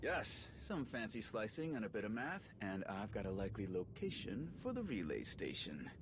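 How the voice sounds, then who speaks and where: Lively, wry tech-savvy soldier guy. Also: fairly low pitch, normal speed, background noise. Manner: casual, wry, rambling with asides. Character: eager, chatty male soldier and tech slicer, friendly and quippy. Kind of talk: video game cutscene acting.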